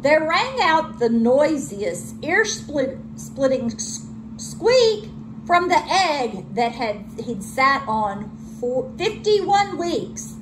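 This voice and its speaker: A woman teacher reading a children's storybook aloud with expressive delivery. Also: high-pitched, very slow, noisy background.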